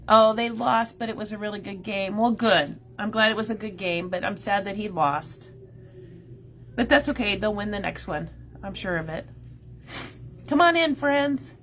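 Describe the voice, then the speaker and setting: Scratchy-voiced woman hosting a live stream. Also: on the high side. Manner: friendly, greets viewers by name, many "um"s. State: scratchy, a bit nasal from a cold. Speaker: shop owner hosting a craft livestream. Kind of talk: casual live-stream chat.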